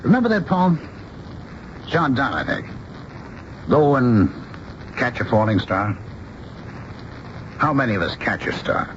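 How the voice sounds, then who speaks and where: A man's voice: medium pitch, sing-song, measured pace, long pauses. A man acting as a practical, somewhat exasperated middle-aged husband, reasoning firmly in a vintage radio drama. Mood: reasoning, insistent. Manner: firm, emphatic, reasoning. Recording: muffled, hissy old radio broadcast.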